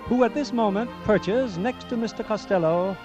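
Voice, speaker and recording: A man's voice: sits high, moderate pace. A man narrating a scripted 1940s radio drama in storytelling style. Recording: muffled old radio broadcast recording. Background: music.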